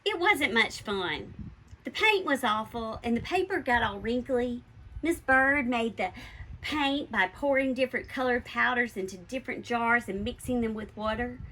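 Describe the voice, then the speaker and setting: A woman reads a children's story aloud in a bright, slightly high voice. Her delivery is expressive and emphatic, as a teacher would read to a class. Faint noise sits behind the voice. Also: moderate pace, rough recording. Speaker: elementary school teacher reading a picture book aloud to pupils.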